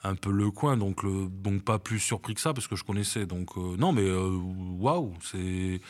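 Hesitant middle-aged French man, very low voice. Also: normal speed, darkish tone. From France. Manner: hesitant, many fillers and restarts. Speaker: cinema manager, interview guest. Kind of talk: casual interview chat. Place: outdoors on a seafront promenade.

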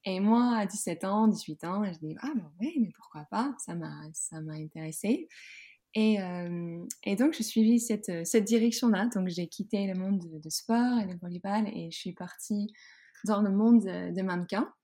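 A Dutch woman speaking French as a non-native, her accent clear throughout. Her tone is warm and mellow, at a middle pitch. She talks haltingly, with frequent fillers and restarts. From Netherlands. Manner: hesitant, many fillers and restarts. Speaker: guest. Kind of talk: podcast interview, personal story.

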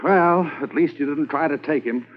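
An actor playing a stern Mountie sergeant who gives commands and asks exasperated questions in a 1950s radio drama. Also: male voice, a little high, normal speed, close to an everyday voice. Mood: commanding. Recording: thin phone-like old radio transcription.

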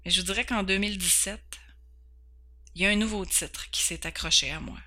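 Bright-voiced Québécois woman host. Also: mid-range voice, a little fast. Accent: Québécois French. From Quebec, Canada. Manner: earnest, candid, occasionally stumbling over words. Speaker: podcast host. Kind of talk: personal introduction.

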